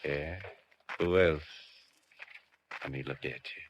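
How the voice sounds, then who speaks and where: An actor playing a sly, menacing escaped bank robber in an old radio crime drama, talking tough and slangy. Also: male voice, rumbling low, steady tone, slow, slight background noise. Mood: possessive, insistent. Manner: tough slangy talk, coolly threatening. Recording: muffled vintage radio recording.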